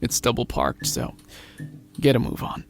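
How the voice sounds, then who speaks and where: A man's voice: a little slow, lightly acted. A man voicing a brusque, indifferent male counselor, a jaded civil servant in a narrated comic book, with a curt, offhand manner. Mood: bored, brusque.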